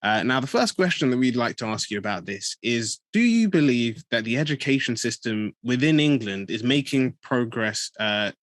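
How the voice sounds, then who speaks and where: A man's voice: mid-range voice, expressive, moderate pace, few pauses, fairly bright tone, no room sound. Chatty young man hosting a podcast. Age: young adult. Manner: chatty, many fillers and restarts. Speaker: podcast host. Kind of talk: casual interview hosting.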